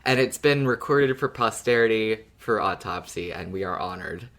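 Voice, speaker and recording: A man's voice: fairly low pitch, animated, normal speed, a bit bright. A man co-hosting a podcast in casual chat, speaking in an agreeable, supportive way. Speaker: podcast co-host.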